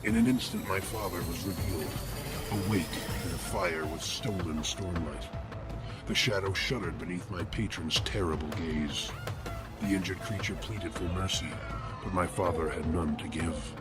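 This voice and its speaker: A man playing a cold, courtly, macabre killer who narrates horror fiction in formal, ornate, deliberate language with cool menace. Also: low-pitched, natural voice. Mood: grimly composed. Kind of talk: scripted first-person horror narration. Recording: loud music behind the voice. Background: loud music.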